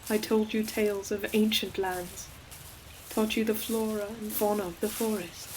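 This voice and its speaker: A woman voicing an ancient, gentle forest demon in a scripted audio roleplay, speaking tenderly in archaic storybook phrasing. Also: medium pitch, level tone, normal speed, close to an everyday voice, poor audio. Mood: nostalgic, tender. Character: ancient gentle forest demon, hulking moss-covered creature. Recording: clear forest ambience noise behind voice. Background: forest nature sound effects with animals.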